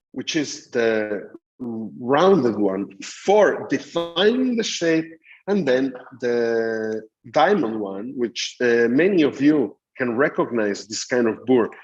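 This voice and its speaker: Halting middle-aged man teaching a class. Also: mid-range voice, muffled recording, a little hiss or hum. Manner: explanatory, halting with frequent fillers. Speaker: dental lecturer. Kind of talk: recorded master class lecture.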